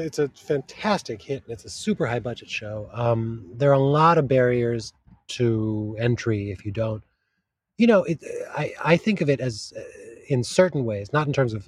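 A man's voice: mid-range voice, darkish tone, slightly rough, dry recording. A man who works as a literary translator, talking in a casual interview, thoughtful and often searching for the right words mid-sentence.

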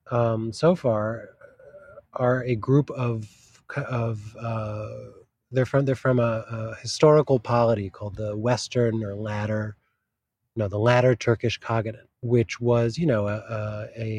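A man's voice: mid-range voice, darkish tone, dry recording. A man who works as a literary translator, talking in a casual interview, thoughtful and often searching for the right words mid-sentence.

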